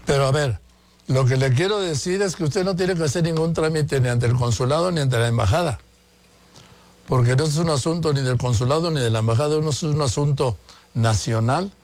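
A man's voice: a little high, a little fast, a little mellow. An older man hosting a conversational news interview, insistently restating his points. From born in Madrid, naturalized Mexican. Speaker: interviewer and host.

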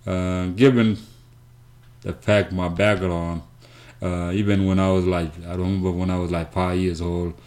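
A man from South Sudan telling his life story as an interview guest, speaking haltingly with many fillers and restarts. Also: low-pitched, little pitch movement, a little mellow. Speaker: guest, nonprofit founder.

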